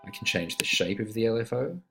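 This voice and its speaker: A man giving an informal, step-by-step screen-recorded tutorial on music production software, talking as an instructor. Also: fairly low pitch, animated, fairly quick, creaky. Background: synth instrument notes played during the demonstration.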